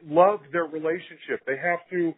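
A man's voice: fairly high pitch, fairly even intonation, normal speed, breathy edge, narrow, tinny audio. A man hosting a casual, conversational podcast interview. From Salt Lake City, United States.

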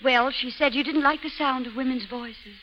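An actress playing a timid, gentle young kitchen worker in a 1930s radio drama, speaking hesitantly. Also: female voice, high-pitched, normal speed, natural voice. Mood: shy. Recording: crackly, muffled 1930s radio recording.

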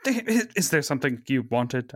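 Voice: medium pitch, sing-song, normal speed, no room sound, studio quality. A performer reading a fussy, formal middle-aged male mentor who stammers awkwardly, in a script table read.

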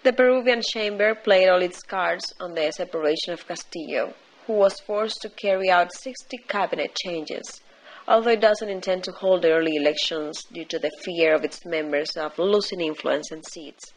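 A woman reading a scripted news commentary in a formal, deliberate way. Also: on the low side, slight background noise, rough recording. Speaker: news reader.

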